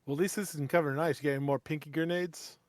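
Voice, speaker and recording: Slightly high man, casual gamer banter. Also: fairly even intonation, racing, a little mellow. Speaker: tabletop game player.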